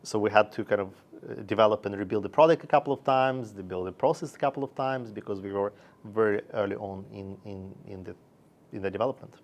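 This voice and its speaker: A man from Russia and Sweden, a founder talking about his career in halting, measured phrases, as in an interview. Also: on the low side. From born in Russia, raised in Sweden. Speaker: company founder and investor.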